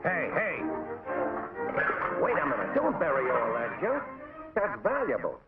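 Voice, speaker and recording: Tinny 1940s film soundtrack with music, small cartoon animal boss, American, brisk, cheerful, folksy orders. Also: male voice, on the high side, wildly swooping pitch. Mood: bossy. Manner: folksy, instructive, calling out orders. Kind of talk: 1940s animated military training film acting.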